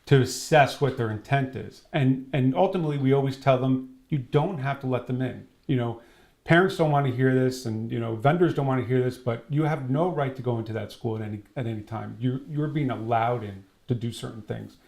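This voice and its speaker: A man's voice: medium pitch, brisk, creaky, a little mellow. A man speaking as a security trainer in an interview, explaining conversationally and illustrating points with quoted dialogue.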